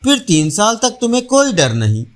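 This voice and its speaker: A man's voice: high-pitched, wildly swooping pitch, moderate pace. A man voicing a learned, self-assured village priest and astrologer in a storybook tale, pronouncing with confidence. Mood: reassuring. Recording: faint music behind voice.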